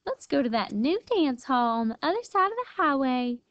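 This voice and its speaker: A woman's voice: sits high, very animated, moderate pace, dry recording, muffled recording, voice pushed up. A woman voicing a small, bold, sassy female armadillo in a storybook, perky and folksy. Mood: eager, bold.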